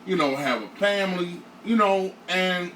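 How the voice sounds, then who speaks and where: A man in his late thirties with a fairly high voice talks in an animated, repetitive way. He sits back from the mic in an echoey room. A faint hiss sits under his voice. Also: normal speed, distant mic. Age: 30s. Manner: animated, repeats himself, acts out his own thoughts. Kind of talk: casual panel discussion.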